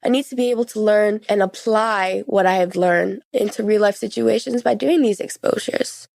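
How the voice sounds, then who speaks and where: Teenage female, podcast guest, conversational, earnest, casual phrasing, medium pitch, dry recording. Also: moderate pace, vocal fry, a little mellow, dry recording. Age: teens. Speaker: podcast guest sharing personal experience. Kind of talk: conversational interview.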